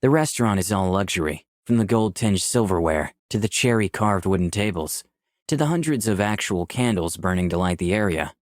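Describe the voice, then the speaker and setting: Low-voiced young visual novel protagonist. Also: male voice, animated, brisk, dry recording, studio quality. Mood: reflective. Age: young adult. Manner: clear scripted storytelling. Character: candid, easygoing 24-year-old man, protagonist and narrator. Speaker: narrator and protagonist. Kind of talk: first-person visual novel narration and dialogue.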